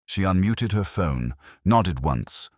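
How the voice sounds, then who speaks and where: A man reading a scripted dramatic first-person story as narrator, in a measured, solemn manner. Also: low voice, animated.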